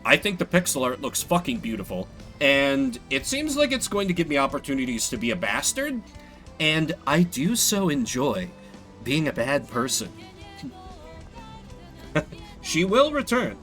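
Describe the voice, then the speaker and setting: Male game streamer: casual, joking, sweary, forceful tone with very lively intonation. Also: medium pitch, measured pace, long pauses, soft background music. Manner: playful, sweary.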